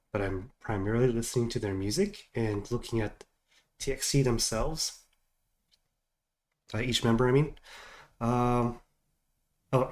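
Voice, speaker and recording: A middle-aged man with a congested, stuffed-up voice talks casually into a home desk mic. He rambles along, trailing off and restarting with plenty of ums and uhs. His pitch sits in an ordinary middle range for a man. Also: darkish tone. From United States. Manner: rambling, hesitant with many fillers. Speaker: reaction video host. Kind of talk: casual chat.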